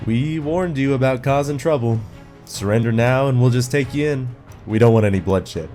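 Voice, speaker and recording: Man playing a stern commanding guard, mellow voice, faint music, audio drama. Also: mid-range voice, moderate pace, close to an everyday voice. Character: stern male commune guard.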